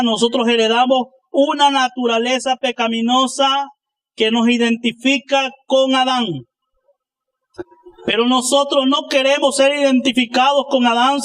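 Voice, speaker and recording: A man's voice: very high voice, fairly bright tone. A man delivers a sermon as a pastor. He speaks emphatically, building his points through rhetorical questions and answers addressed to his congregation.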